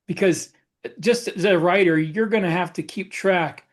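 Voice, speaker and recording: A man with a fairly high voice talking casually on a panel podcast. He makes his points with emphasis, in the plain conversational way of a co-host. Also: moderate pace. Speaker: podcast co-host and science fiction author.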